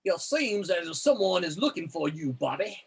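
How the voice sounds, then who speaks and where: A man voicing a stern martial arts master in a theatrical comic reading, solemn and commanding, with deliberate phrasing. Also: high voice, big pitch swings, vocal fry, breathy edge, exaggerated voice. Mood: solemn, firm.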